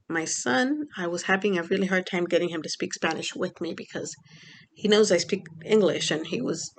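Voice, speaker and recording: A young woman from Mexico speaks in a loose, rambling vlog style, leaning on fillers as she goes. Her mid-pitched voice carries a steady vocal fry. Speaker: vlogger. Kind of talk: casual personal vlog.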